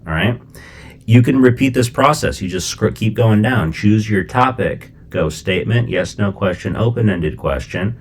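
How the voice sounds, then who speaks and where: A middle-aged man from Chicago explaining a language-learning method in a relaxed, conversational way, with plenty of fillers and check-ins. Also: fairly low pitch, moderate pace, a little hiss or hum. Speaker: language teacher. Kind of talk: casual explanatory lesson.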